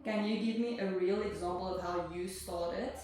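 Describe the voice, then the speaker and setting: A woman interviewer reading out prepared questions in a brisk, upbeat way. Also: mid-range voice, steady tone, over music. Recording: echoey room, distant mic, audible noise.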